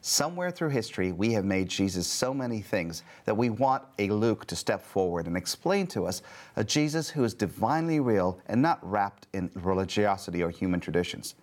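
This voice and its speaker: A man's voice: lowish voice, very animated, moderate pace, darkish tone. A man hosting a casual Bible study discussion, playful and joking as he asks questions. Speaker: host.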